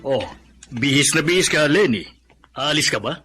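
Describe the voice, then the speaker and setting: A man's voice: fairly high pitch, lively intonation, moderate pace, music in the background, lightly acted. An actor playing a plain-spoken middle-aged shopkeeper father in a Filipino radio drama, questioning and chiding. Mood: curious, chiding. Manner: scolding, questioning.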